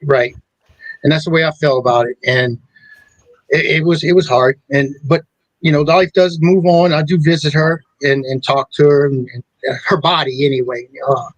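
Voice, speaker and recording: A middle-aged man hosting a talk show, speaking in a rambling, halting way with many restarts. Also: on the high side, steady tone, no echo, poor audio. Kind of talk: casual chat.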